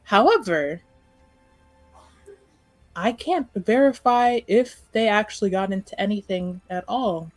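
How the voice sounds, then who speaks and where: A woman's voice: mid-range voice, lively intonation, a little slow, long pauses, no echo, faint music behind. A woman playing a coy, gossipy masked lady in an improvised tabletop roleplay, with a theatrical, faux-scandalized manner. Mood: coyly scandalized.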